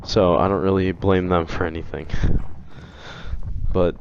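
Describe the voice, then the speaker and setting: A young man with a hoarse voice from being sick, rambling through a casual opinion vlog with frequent restarts. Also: sits low, flat delivery, measured pace, dull audio, slight background noise. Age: young adult. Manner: rambling, hesitant, many restarts. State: hoarse from illness. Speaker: video game commentator.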